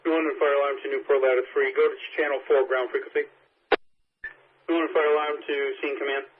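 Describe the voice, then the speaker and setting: A man's voice: mid-range voice, level tone, fast talker. A man working as a fire dispatcher over two-way radio, tersely repeating back transmissions in procedural phrases. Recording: phone-like fire radio audio, static and noise.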